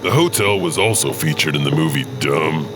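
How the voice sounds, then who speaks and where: A chatty, digressive janitor introducing eerie tales, played by a man. His voice is low and lilts widely as he hesitates and wanders, with music clearly playing behind him. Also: expressive, moderate pace. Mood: sheepish, welcoming. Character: rambling, folksy janitor storyteller at old hotel. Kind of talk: hosted anthology narration.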